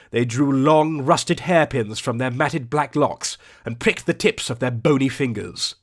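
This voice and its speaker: Male narrator for a fantasy audiobook: medium pitch, ornate storytelling delivery, carefully measured phrasing. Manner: theatrical, ornate, deliberate delivery.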